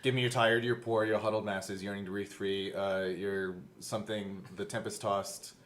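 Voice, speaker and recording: A man talking casually as a game master looking back on the show he made, halting a little as he thinks aloud. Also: lowish voice, little pitch movement, fairly bright tone, slight background noise. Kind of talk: casual behind-the-scenes recollection.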